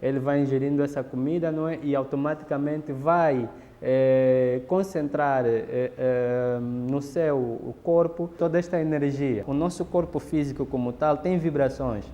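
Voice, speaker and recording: A man's voice: mid-range voice, dark tone, muffled recording. Angolan man explaining in an echoey room. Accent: Angolan Portuguese. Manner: measured, pauses with fillers and restarts. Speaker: interviewee explaining a ritual. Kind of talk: explanatory documentary interview. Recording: reverberant room, faint background noise.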